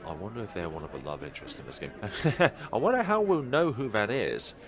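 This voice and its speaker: A man's voice: lowish voice, wildly swooping pitch, quick pace, a crowd in the background. A man hosting a let's play, reading a visual novel aloud with casual commentary. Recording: music playing behind the voice.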